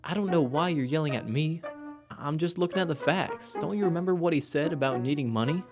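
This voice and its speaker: An actor playing a defensive, accusatory young college student in an audio drama, insistent and dismissive. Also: male voice, a little high, a little fast, music in the background, lightly acted. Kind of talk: scripted audio drama acting.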